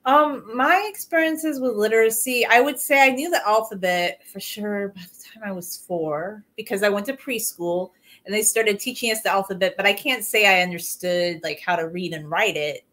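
A young woman in a room at home speaks into a webcam as a guest. Her pitch is mid-range. She talks casually and often drops in "like". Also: normal speed, a bit bright. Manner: casual, with frequent "like". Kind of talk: casual video call conversation. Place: home room with posters on the wall. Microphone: video call webcam.

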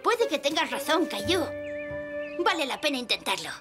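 A high boyish voice with a Castilian Spanish accent, swinging widely in pitch. He is a breezy, confident cartoon kid, friendly and upbeat, with music behind. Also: big pitch swings, moderate pace. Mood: conceding. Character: cheerful, confident older boy, a friendly playmate. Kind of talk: children's cartoon dub acting.

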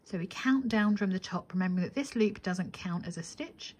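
A woman's voice: medium pitch, a little fast, a little mellow, slightly rough. A woman narrating a step-by-step craft tutorial as the instructor, methodical and counting steps aloud.

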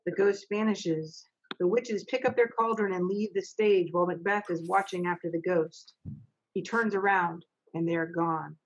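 A middle-aged woman in a home office, reading stage directions for a video-call play reading and briskly keeping the young readers on track. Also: fairly low pitch, steady tone. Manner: brisk, practical, coaxing the young readers along. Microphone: laptop mic on a video call. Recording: dull lo-fi video call audio.

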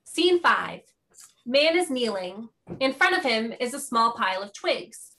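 A woman's voice: on the high side, very animated, a little slow, sharp tone. A woman giving scripted narration, reading out the stage directions of a play.

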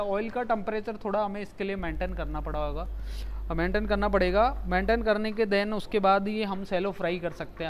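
A man's voice: sits high, slight background noise. Male chef, thirties, patient step-by-step explainer, lapel mic, commercial kitchen, faint frying sounds. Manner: explains step by step, mixing English words into Hindi. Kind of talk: cooking demonstration.

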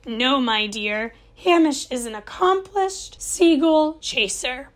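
A woman's voice: high-pitched, expressive, slow. Woman voicing a mild old lighthouse keeper. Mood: agreeable.

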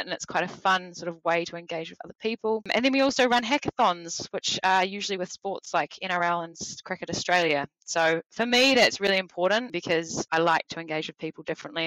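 A young woman with a New Zealand accent, a recruitment consultant chatting upbeat and fast on a video call through a headset mic. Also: on the low side, a bit bright, dry recording, rough recording. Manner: chatty and upbeat, quick asides and restarts. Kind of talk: casual interview chat. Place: small home office, indoors.

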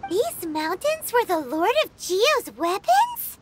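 Tiny fairy sidekick for a game, chirpy and blunt, squeaky childlike voice. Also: female voice, big pitch swings, takes it slowly, noisy background, heavily acted. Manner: bright and chatty. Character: small floating fairy companion, chirpy and blunt. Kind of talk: game voice acting.

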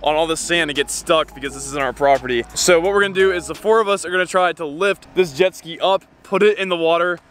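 A man's voice: on the high side, fairly bright tone. A young man hosting a fishing vlog, talking energetically to a handheld camera outdoors. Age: young adult. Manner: upbeat, talks fast to the camera. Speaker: fishing vlogger host.

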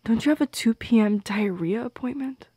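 A young woman playing a shy, teasing teenage goth girl in an intimate ASMR roleplay, speaking softly and hesitantly, often slipping into a whisper. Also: on the high side, no echo. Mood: wounded, wry. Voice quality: soft and breathy, slipping into whispers. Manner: hesitant, teasing, halting with small laughs. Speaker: audio roleplay performer. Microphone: close microphone in a dry space.

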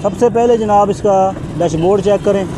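A man with a high voice gives brisk, repetitive salesman patter while he walks around used cars. He is recorded outdoors on a camera mic at a busy roadside bazaar, with clear street noise behind him. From Pakistan. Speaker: used-car video host. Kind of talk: walkaround car sales commentary.

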